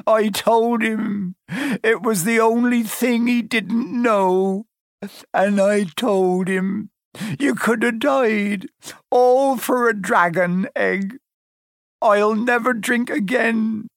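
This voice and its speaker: A man voicing a huge, bearded, soft-hearted half-giant with rustic, colloquial speech in an audiobook. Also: mid-range voice, lively intonation, slow pace, husky, no room sound, studio quality, heavily acted. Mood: sobbing remorse.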